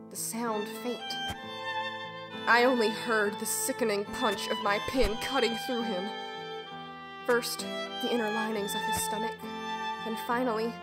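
Woman playing a young fairy tale girl survivor, kinda high voice, bitter n intense, dramatic audio play storytelling over music. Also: natural voice. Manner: intense, deliberate, emotional storytelling. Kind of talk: dramatic first-person audio drama monologue.

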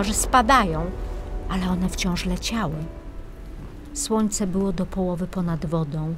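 A woman reading a children's fairy tale as scripted narration, in a measured, expressive storytelling manner. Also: medium pitch, noisy background. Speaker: main narrator of a children's audiobook. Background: music.

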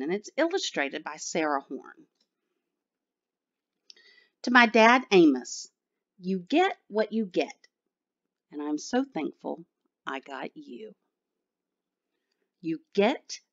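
Slow, animated woman reading to children. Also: mid-range voice, muffled recording. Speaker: children's story reader. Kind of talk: expressive picture book read-aloud for children.

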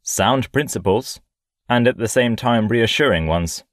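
A man's voice: mid-range voice, lively intonation, measured pace, no room sound, close to an everyday voice. A man playing a worldly, breezy young man-about-town in an audio play, with dry irony and playful bossiness. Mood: wry. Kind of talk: audio stage play acting.

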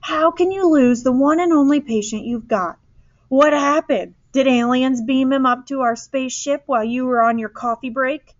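A woman's voice: mid-range voice, very animated, normal speed, a bit bright, no room sound, dull audio. Woman putting on an indignant, sarcastic storybook dad. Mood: angry. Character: indignant, sarcastic father of a schoolboy.

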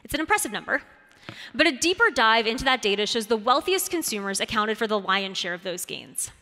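A woman's voice: on the high side, very animated, brisk, sharp tone. A woman in her thirties giving a polished, scripted keynote speech on a conference stage, stressing key points with lifts in her voice. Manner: clear, polished delivery with rising emphasis on key points. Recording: reverberant conference hall.

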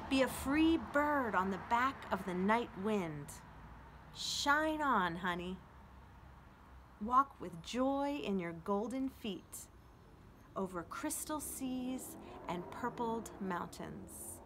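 Slow-paced woman reading poems aloud outdoors. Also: on the high side. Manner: unhurried, expressive reading with deliberate pauses. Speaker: children's librarian reading to an online audience. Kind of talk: poetry read-aloud with casual asides. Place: outdoors on a house front porch. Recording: outdoor traffic noise, street ambience. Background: street traffic.

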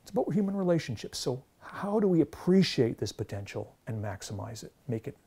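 A man's voice: mid-range voice, very animated, a little fast, gravelly, mellow, husky. A Canadian man in his forties giving a thoughtful explanatory lecture to camera on a lapel mic, with fillers and restarts. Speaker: theology lecturer. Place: studio with green-screen backdrop.

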